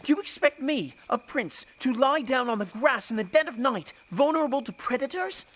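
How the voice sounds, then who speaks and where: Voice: sits high, lively intonation, normal speed, fairly bright tone, slight background noise. A performer playing a spoiled, indignant boy prince in an audio drama, haughty and full of protest. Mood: incredulous, complaining.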